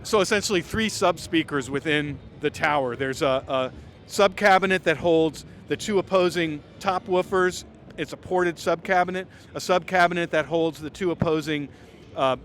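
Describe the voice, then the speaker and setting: A man's voice: a little high, fairly bright tone. A man presenting products off the cuff as a company representative, with an enthusiastic, salesy delivery. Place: trade show. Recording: music behind the voice.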